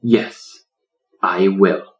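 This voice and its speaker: A man voicing a gentle old lion in a storybook, solemn and loving like a shepherd, with a preaching delivery. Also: low voice, extremely slow, faint music behind. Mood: tender, protective.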